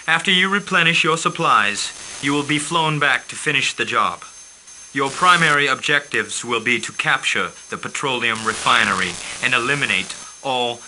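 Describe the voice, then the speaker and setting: A smooth formal mercenary liaison. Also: male voice, medium pitch, a little hiss or hum, low-quality recording. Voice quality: calm, formal, measured. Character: suave male mercenary liaison giving briefings. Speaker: mission handler. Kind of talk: scripted game briefing.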